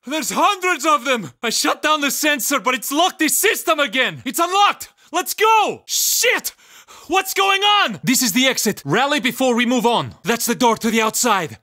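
An actor playing a tense, cynical survivor of a zombie apocalypse in a video game, urgent and terse. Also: male voice, extremely high pitch, big pitch swings, normal speed, sharp tone, full character voice.

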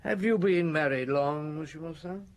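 An actor playing a courteous police chief inspector in a radio drama, asking measured, persistent and polite questions. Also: male voice, on the high side, lightly acted. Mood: polite, probing.

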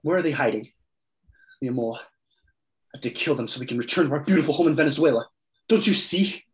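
A man's voice: medium pitch, lively intonation, fast talker, close to an everyday voice. A young man reading the part of a taunting, vengeful Venezuelan gunman in a play over a video call. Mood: menacing. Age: young adult. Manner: taunting, slips into Spanish. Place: room at home. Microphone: computer mic on video call.

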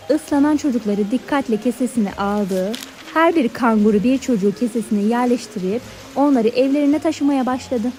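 A woman narrating a scripted fairy tale for children in a clear storybook manner. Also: on the high side, dark tone, slight background noise, rough recording. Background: background music.

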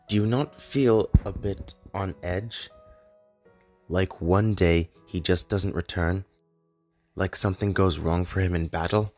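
A man playing a grave, lovelorn immortal in an animated drama, speaking earnestly in measured, formal, poetic phrases. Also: deep voice. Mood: anguished, pleading. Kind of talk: dramatic animated-film voice acting. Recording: echoey hall reverb, music underneath.

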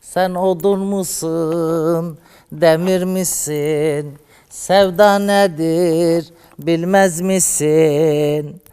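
A man's voice: a little high, steady tone, very slow, darkish tone, clean tone, long reverb tail. A man playing a flamboyant female TV fairy hostess, teasing and brassy, in a comedy sketch. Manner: teasing, flamboyant, calls women "sister". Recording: studio audience behind.

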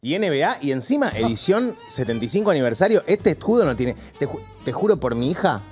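An Argentine man hosts a casual studio show in a slangy, joking way. His voice sits a little high and swings widely in pitch. Soft music plays behind his close-miked speech. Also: very animated, quick pace. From Rosario, Argentina. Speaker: host. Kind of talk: casual comedic studio chat. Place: streaming studio. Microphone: close studio microphone with headphones.

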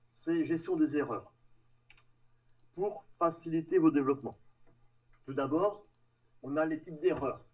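Guy doing a tech talk online, slightly high voice, halting, sounds off mic. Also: breathy edge. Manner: halting, hesitant delivery with trailing phrases. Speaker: tech meetup presenter. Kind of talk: live technical presentation with slides. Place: online video meeting with screen share. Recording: distant mic on online call.

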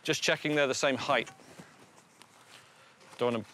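A man's voice: medium pitch, steady tone, measured pace, pushed and bright, a little hiss or hum. A middle-aged British man, a plain-spoken antiques dealer, haggling and commenting informally. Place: outdoors in a scrapyard. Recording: music behind the voice.

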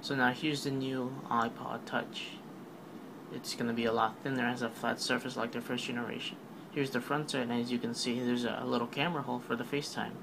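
A man's voice: mid-range voice, level tone, brisk, gravelly, noisy background. A man giving a casual, rambling voice-over recap of a product keynote, full of fillers and offhand remarks. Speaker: tech video narrator.

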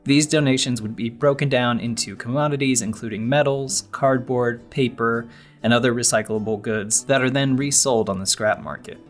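A man's voice: mid-range voice, fairly even intonation, normal speed, faint music behind. A man hosting a podcast, delivering scripted narration. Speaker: podcast host.

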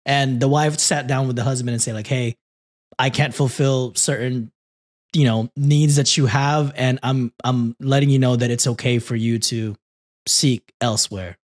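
A man's voice: mid-range voice, steady tone, moderate pace. A man co-hosting a podcast with casual, self-deprecating, sweary banter. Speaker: podcast co-host.

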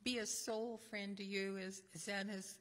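Older woman minister, earnest introductions, mid-pitch, echoey sanctuary. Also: flat delivery, a little slow, fairly bright tone. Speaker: minister leading the service. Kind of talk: introducing speakers in a service. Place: church sanctuary at a lectern. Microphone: lectern microphone. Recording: echoey church hall.

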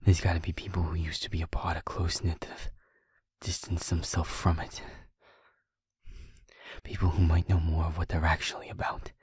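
A man playing a stubborn, hurting young werewolf boyfriend in an intimate audio roleplay, with a husky, breathy delivery that often drops near a whisper and sounds earnest and strained. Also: deep voice, level tone, darkish tone, dry recording. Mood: indignant, determined. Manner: halting, earnest, emotionally strained.

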